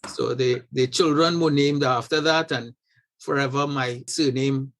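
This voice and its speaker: A man from Trinidad and Tobago talks as an interview guest, spinning a family anecdote at an easy, unhurried pace. He often circles back and restarts his phrases. His voice sits at an ordinary middle pitch for a man. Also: fairly even intonation, a bit bright. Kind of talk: conversational storytelling.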